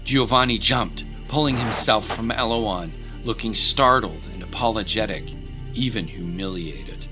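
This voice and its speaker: A man narrating a scripted dramatic story in a measured, solemn, literary way. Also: lowish voice, music in the background.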